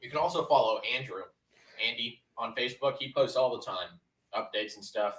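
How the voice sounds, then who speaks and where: A man's voice: mid-range voice, a little fast, fairly bright tone, distant mic. A man co-hosting a casual livestream, chiming in with dry, offhand remarks. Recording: roomy sound from off-mic.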